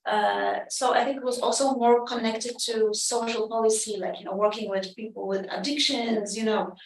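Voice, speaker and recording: A woman's voice: on the high side, steady tone, moderate pace, far from the mic. A woman adding remarks during a lecture discussion, talking casually with lots of "you know" and "like". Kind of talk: conversational remarks in a panel discussion. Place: lecture room. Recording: roomy hall, voice from off mic.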